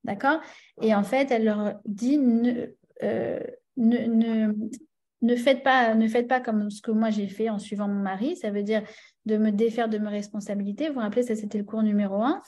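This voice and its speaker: Mellow-voiced French woman teaching a class. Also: a little high, fairly even intonation, moderate pace. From France. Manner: chatty, many fillers and restarts, checks in with listeners. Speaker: religious teacher.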